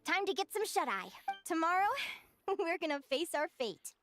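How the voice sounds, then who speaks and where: Very high, plucky little game companion. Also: female voice, very animated, normal speed, a bit bright, heavily acted. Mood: determined. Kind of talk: video game voice acting. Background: game music.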